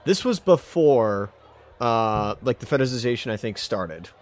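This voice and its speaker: Casual male podcast co-host, medium pitch, opinionated ranter, clean-mouthed exclamations, loose conversational delivery. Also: lively intonation, unhurried. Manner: ranting, opinionated, mild substitute swearing. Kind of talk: casual music discussion.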